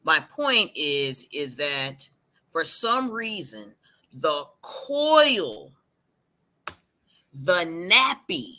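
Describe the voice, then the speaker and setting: Emphatic woman with a bright, lively voice. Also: sits low. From Puerto Rico. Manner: emphatic, preachy, drawn-out lists. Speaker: hairstylist panelist. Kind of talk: livestream panel talk.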